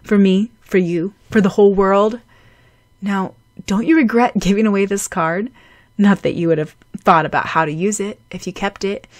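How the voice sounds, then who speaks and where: A woman's voice: medium pitch, moderate pace, dry recording, natural voice. A woman voicing a playful, self-assured teenage boy in an audiobook, with a teasing manner. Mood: smug, teasing. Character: cocky, playful teenage boy, proud showman. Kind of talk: audiobook character voice.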